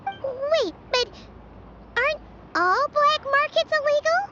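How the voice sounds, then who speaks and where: Very high, squeaky little companion creature. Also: female voice, lively intonation, slow pace, sharp tone, muffled recording, full character voice. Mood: puzzled. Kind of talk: video game voice acting. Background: game music.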